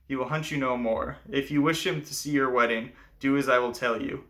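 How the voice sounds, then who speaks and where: Male narrator as fairy-tale witch: knowing, authoritative, assured, with a bright, forceful tone. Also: medium pitch, steady tone, fairly quick, close to an everyday voice.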